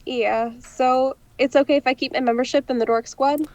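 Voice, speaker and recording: A sardonic young woman played by an actress with a high voice, in romantic comedy audio drama. The sound is close and dry, with a dorky, everyday delivery. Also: fairly even intonation, normal speed, a little mellow, no echo. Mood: playful teasing. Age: young adult. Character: sarcastic lonely young woman, playful dorky friend.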